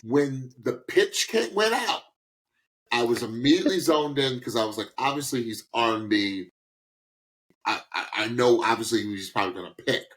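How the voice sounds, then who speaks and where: Animated man hosting a podcast. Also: mid-range voice, moderate pace, poor audio. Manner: animated, emphatic, informal, repeats words for emphasis. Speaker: podcast host. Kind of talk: casual reaction podcast talk, giving opinions.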